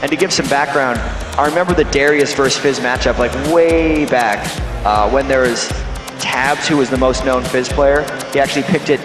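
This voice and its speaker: Man giving esports analysis, echoey hall. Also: mid-range voice. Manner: analytical, measured explanations. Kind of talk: esports color commentary. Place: packed convention hall. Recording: echoey arena with music behind.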